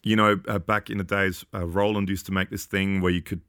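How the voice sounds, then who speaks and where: A low-pitched man chats about songs he loves, full of ums and restarts and quick laughs. His deep voice sits close and dry, with no room sound around it. He talks like a musician among friends. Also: flat delivery, a bit bright, smooth, no echo. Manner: rambling, hesitant, laughs often. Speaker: podcast guest. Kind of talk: casual music discussion.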